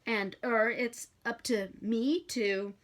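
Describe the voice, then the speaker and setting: Woman voicing a cheeky, flustered demon, pitched up. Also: fairly even intonation, takes it slowly, a bit bright. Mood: reluctant, desperate. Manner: slangy, hesitant, protesting. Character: sly slick male demon, cheeky, flustered, evasive.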